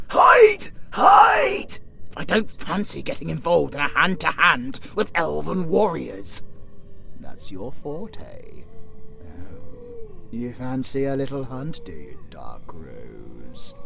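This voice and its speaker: A British man playing a gleefully evil, flirty undead necromancer in an audio roleplay, theatrical and foul-mouthed, swinging between sly whispers and shrill shrieks. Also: vocal fry, exaggerated voice. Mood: excited, conspiratorial. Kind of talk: audio roleplay acting spoken to the listener.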